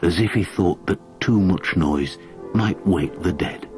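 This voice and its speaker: A man reading a haunting story aloud as scripted narration, measured and dramatic, sometimes dropping to a whisper. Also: sits low, over music. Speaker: audiobook narrator.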